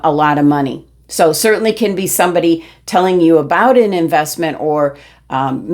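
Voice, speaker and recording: Hoarse woman giving a tarot reading. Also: lowish voice, a little mellow. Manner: rambling, many fillers and restarts. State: losing her voice, throat clearing. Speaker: tarot reader. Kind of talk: casual monthly tarot reading.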